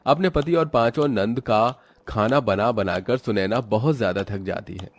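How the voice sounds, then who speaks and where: A man's voice: lowish voice, moderate pace, a little hiss or hum. A man narrating a scripted moral story as its storyteller.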